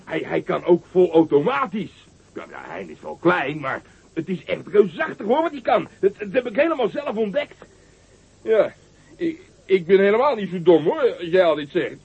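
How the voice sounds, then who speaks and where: A giggling, stammering young giant who brags like a proud little boy. His voice sits high and gravelly and leaps around as he talks. It comes through a dull, lo-fi old radio recording. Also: animated, moderate pace, full character voice. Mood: gleeful boasting. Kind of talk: radio drama acting.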